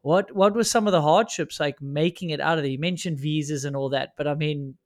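A middle-aged South African man interviewing in a measured, thoughtful tone. His voice sits a little high for a man. The sound is dry and close. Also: dry recording. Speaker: interviewer, former pro rider. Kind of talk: casual conversational interview.

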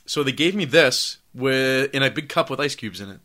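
A man hosting a casual podcast, hoarse while getting over laryngitis, talking in a loose, profane, rambling way with lots of fillers. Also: medium pitch, lively intonation, normal speed, sharp tone. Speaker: podcast host.

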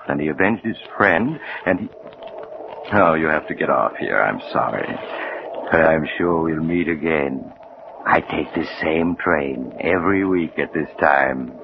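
An actor playing a sinister yet genial traveler who hosts a 1940s radio mystery, deliberate, insinuating and theatrical. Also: male voice, a bass voice, full character voice. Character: sinister, genial male train traveler telling eerie tales. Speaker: storyteller host. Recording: dull, noisy 1940s radio recording.

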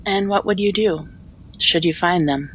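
Casting: actress for a cryptic, regal oracle, lowish female voice, formal and measured, audio drama, slightly muffled sound. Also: a bit bright, a little hiss or hum. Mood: calm authority. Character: enigmatic oracle queen, calm, measured and commanding.

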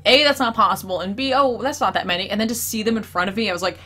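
A woman's voice: mid-range voice, racing, pushed and bright. Bubbly woman co-hosting, laughs often. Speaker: show co-host. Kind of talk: casual chat.

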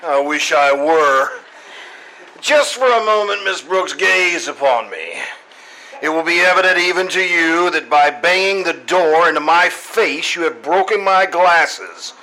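A man playing a pompous, exasperated high school principal in an old-time radio comedy, speaking in elaborately formal, sarcastic sentences. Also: animated, unhurried, pushed and bright, full character voice, poor audio. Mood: wounded sarcasm. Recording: live stage recording, background noise.

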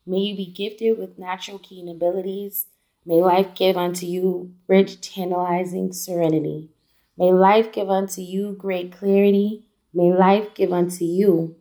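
A woman poet reciting a spoken word blessing in deliberate, incantatory short phrases. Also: lowish voice, little pitch movement, warm tone.

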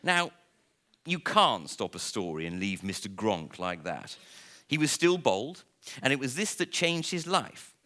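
A man reading a comic children's story aloud to listeners in a theatrical, playful way, with dramatic pauses. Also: mid-range voice, big pitch swings, pushed and bright. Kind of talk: expressive live storybook reading.

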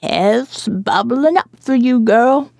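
A woman voicing a croaking, preachy woman of sixty in audio fiction, scolding in folksy rustic speech. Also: a little high, slow pace, darkish tone, no room sound, studio quality, full character voice. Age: 60s. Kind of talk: audio fiction character acting.

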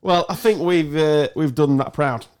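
A man's voice: on the high side, a little slow, darkish tone, clear, resonant tone. A man hosting a casual sports podcast with joking, teasing banter. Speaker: podcast host.

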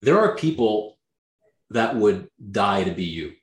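A man from Canada, raised speaking Greek first, talks as a guest with blunt, emphatic energy and swears freely. His voice sits a little low. The recording has a roomy echo around it. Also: very animated, a little slow. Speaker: guest, real estate agent. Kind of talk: motivational interview talk.

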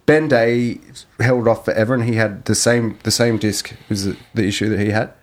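A man chatting as a podcast guest, relaxed and reflective, with lots of fillers. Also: fairly low pitch, normal speed. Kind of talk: casual podcast chat.

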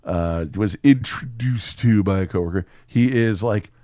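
A man's voice: lowish voice, measured pace, mellow, no room sound, clean studio sound. A man chatting casually as a podcast co-host, rambling through stories with plenty of swearing. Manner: crude, swearing, rambling storytelling. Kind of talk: casual chat about a film.

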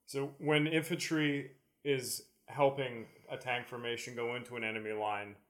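Canadian man explaining in a medium-pitched voice. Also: steady tone, moderate pace, fairly bright tone. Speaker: podcast co-host. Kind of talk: casual explanatory chat.

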